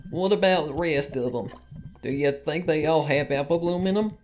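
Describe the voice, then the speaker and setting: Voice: mid-range voice, normal speed, slight background noise, voice pushed up, natural voice. A narrator voicing a plucky young female farm pony with folksy country phrasing, in a story reading. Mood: wary.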